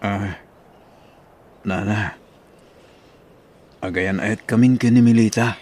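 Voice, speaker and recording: A man playing an earnest, devoted young college student in a radio drama, with a husky voice. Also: fairly low pitch, fairly even intonation, takes it slowly, darkish tone. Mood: earnest, respectful.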